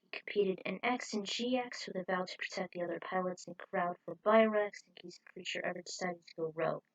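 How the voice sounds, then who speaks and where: A woman's voice: fairly low pitch, fairly quick, dry recording, rough recording. A woman reading a fan fiction story aloud in an informal way, breaking off for her own opinionated asides.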